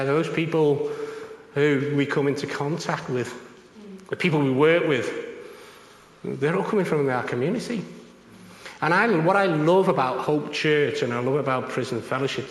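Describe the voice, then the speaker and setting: A man preaching an informal sermon in a rambling, conversational way, his voice a little croaky. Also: fairly high pitch, roomy sound, big-room sound, rough recording. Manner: conversational, rambling with many fillers. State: slightly croaky voice.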